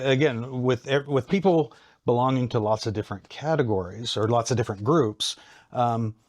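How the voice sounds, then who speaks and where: American man explaining with measured hesitation. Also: medium pitch. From Ohio, United States. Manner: measured, hesitant with frequent ums and restarts. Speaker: psychology lecturer, guest. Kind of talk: explanatory academic talk.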